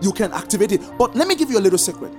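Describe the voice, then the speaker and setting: A preacher teaching a congregation, stressing points in slow drawn-out repeated commands. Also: medium pitch, music in the background. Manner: lively sing-song emphasis, slow drawn-out repeated commands. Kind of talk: sermon teaching.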